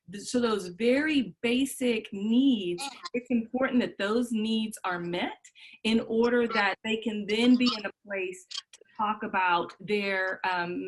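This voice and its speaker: A woman's voice: mid-range voice, unhurried, a little hiss or hum, low-quality recording. A woman mental health panelist speaking steadily and encouragingly on an online panel, with frequent "um" and "you know.".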